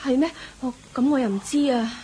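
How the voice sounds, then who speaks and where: An actress playing an excitable, naive laywoman devotee in a radio drama, fussy and full of exclamations. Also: high-pitched, brisk, slight background noise, lightly acted. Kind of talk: Cantonese radio drama acting.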